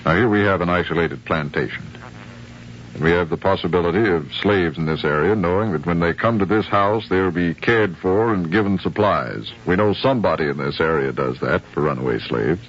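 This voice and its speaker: An actor playing a shrewd, insistent sheriff who questions deliberately and politely, in a 1950s radio drama. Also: male voice, low voice, natural voice. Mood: probing. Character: probing, shrewd male sheriff hunting runaway slaves. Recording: muffled, noisy 1950s radio recording.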